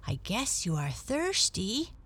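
A woman's voice: medium pitch, sing-song, slow pace, fairly bright tone, husky, exaggerated voice. A woman voicing a small, eager girl who coos fondly at a cat. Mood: affectionate. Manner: cooing and affectionate. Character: sweet, eager little girl.

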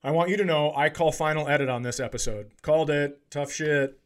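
Higher-pitched man in his forties, joking. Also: steady tone, a little fast. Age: 40s. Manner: teasing, self-deprecating, swearing. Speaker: podcast co-host, comedian. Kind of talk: casual comedic banter and storytelling.

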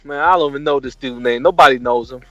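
A man's voice: medium pitch, quick pace, pushed and bright, lo-fi sound, soft background music. A man in his forties from New York, calling in as a fighter and talking brash, slangy trash. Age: 40s. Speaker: caller, fighter. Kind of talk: casual call-in chat.

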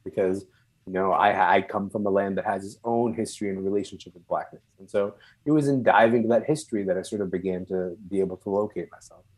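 A man from Sudan who moved to Canada young and is not a native English speaker, speaking reflectively and haltingly as an interview guest, searching for words. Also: fairly low pitch, a little mellow, poor audio. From born and raised in Sudan, moved to Canada at twelve. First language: non-English first language.

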